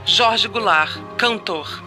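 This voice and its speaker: An actor playing a stern Brazilian official announcer in a radio drama, reading out a decree and names in clipped, solemn declamation. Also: male voice, sits high, slow, music in the background. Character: stern official announcer proclaiming a dismissal list.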